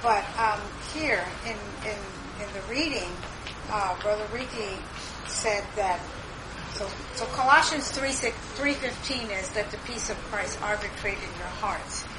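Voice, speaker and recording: A woman's voice: medium pitch, unhurried. A woman thinking aloud as she shares a reflection at a church meeting. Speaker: church member sharing. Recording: noisy recording.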